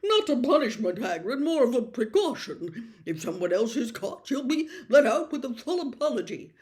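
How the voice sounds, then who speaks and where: A woman's voice: a little high, very animated, moderate pace, darkish tone, pitched above the performer's own voice. A woman voicing an anxious, self-justifying male government minister in a storybook, in clipped, insistent phrases. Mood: evasive.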